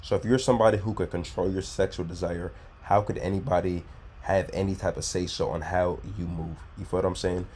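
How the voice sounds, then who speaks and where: Deep-voiced blunt man reacting to clips. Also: fairly even intonation, a little fast, darkish tone, slightly rough. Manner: blunt, profane, preachy advice-giving. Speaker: reaction video host. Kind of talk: casual reaction commentary.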